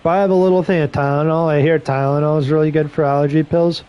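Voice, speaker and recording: A slightly hoarse man on a headset mic giving casual play-by-play commentary, with relaxed, rambling asides between calls. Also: on the high side, quick pace, dull audio. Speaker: streamer doing play-by-play.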